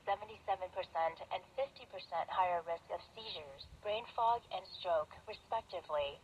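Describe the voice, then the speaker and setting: Female news announcer, medium-pitched, scripted delivery, captured off shortwave radio with plenty of static. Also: muffled recording. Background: clear radio static and noise.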